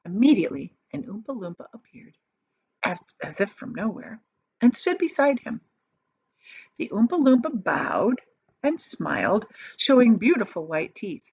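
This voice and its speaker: A woman reading a children's story aloud as an expressive storyteller. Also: medium pitch, unhurried, vocal fry, breathy edge, no room sound. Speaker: storybook reader. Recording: slightly dull, dry recording.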